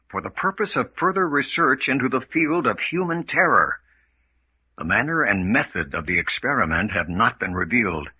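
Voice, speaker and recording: A man narrates an old-time radio serial as its announcer. His voice is husky, with sweeping dramatic intonation. The recording is dull and lo-fi. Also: medium pitch.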